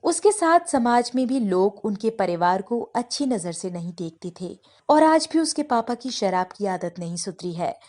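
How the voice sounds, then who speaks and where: A woman's voice: mid-range voice, big pitch swings, normal speed, a little mellow. A woman reading scripted narration for a family story.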